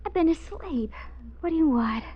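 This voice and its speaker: An actress playing a frightened but quick-witted woman held at gunpoint, breathy and husky, in 1950s radio drama style. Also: very high-pitched, expressive. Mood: nervous, covering up. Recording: muffled old radio recording.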